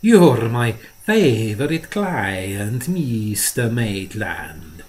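A man's voice: on the low side, very animated, very slow, exaggerated voice. A man putting on an oily, wheedling fat dealer. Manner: oily, wheedling, teasing sing-song. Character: fat, greasy, ingratiating little male dealer.

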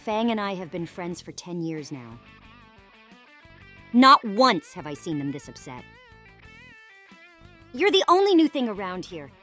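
Woman voicing a bossy, animated teenage dinosaur girl. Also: lowish voice, unhurried, long pauses, soft background music. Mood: accusing. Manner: accusing, blunt. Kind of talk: visual novel voice acting.

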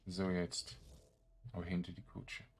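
A man's voice: deep voice, completely flat delivery, unhurried, gravelly, slight background noise. A man giving casual let's-play commentary, grumbling in exasperation and muttering to himself as he plays. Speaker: video game streamer.

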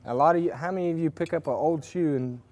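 A man's voice: fairly high pitch, fast talker, a little mellow. A man giving a casual, rambling farrier demonstration at a workshop with forges, with lots of fillers and restarts. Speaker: farrier instructor. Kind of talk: casual hands-on demonstration talk.

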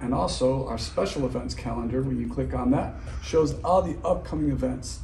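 A man's voice: mid-range voice, normal speed, vocal fry, mellow. A middle-aged man delivering scripted church announcements to camera. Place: large indoor church foyer and halls. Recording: roomy, distant, noisy hall sound.

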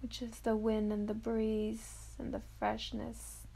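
Slow, high-voiced woman guiding meditation. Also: completely flat delivery, creaky, rough recording. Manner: soft, drawn-out phrases with long pauses. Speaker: meditation guide. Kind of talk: guided meditation affirmations.

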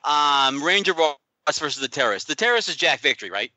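Lively, bright joking male co-host. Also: fairly high pitch, quick pace, no echo. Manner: wry, joking. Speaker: podcast co-host. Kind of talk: casual podcast chat.

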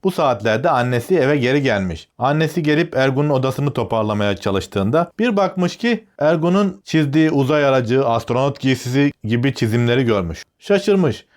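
A man tells a children's tale in a very animated, melodic delivery. His pitch sits in an ordinary male range and moves widely as he explains each step of the story. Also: brisk, hardly any pauses. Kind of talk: narrating a children's fairy tale.

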